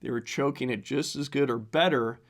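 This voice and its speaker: A man hosting a casual solo podcast, talking through a hoarse, strained voice that keeps cracking, rambling with fillers as he reads from a newsletter. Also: medium pitch, moderate pace. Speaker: podcast host.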